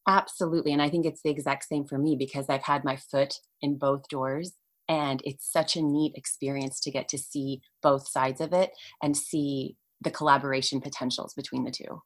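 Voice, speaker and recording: A woman's voice: rumbling low, little pitch movement, moderate pace. A woman whose first language is Hungarian, a language education trainer explaining her experience in an enthusiastic, articulate way as a podcast guest. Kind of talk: conversational podcast interview.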